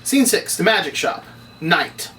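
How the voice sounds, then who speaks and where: A man's voice: high voice, sing-song, measured pace, sharp tone. A man narrating stage directions as he reads from a script at a table read. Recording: distant mic, noisy room.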